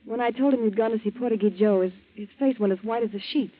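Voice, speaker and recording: An actress playing an anxious, trusting young American fiancee in a 1940s radio drama. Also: female voice, on the high side, fast talker, natural voice. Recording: thin, narrow 1940s radio recording.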